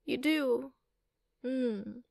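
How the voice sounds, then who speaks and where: A woman voicing a giant dragon mother in an ASMR roleplay, doting, teasing and softly dominant, cooing and repeating herself with murmured hums, sometimes in whispers. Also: sits high, extremely slow, vocal fry, no room sound, studio quality, exaggerated voice. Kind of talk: monster girl ASMR roleplay. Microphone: close microphone.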